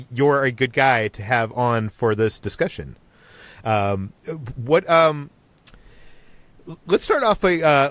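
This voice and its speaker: A male podcast host speaks in an ordinary mid-range voice, recorded dry and close. He talks casually and haltingly, stumbling over starts as he steers the discussion. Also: mid-range voice, fairly bright tone, dry recording. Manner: halting, stammering delivery.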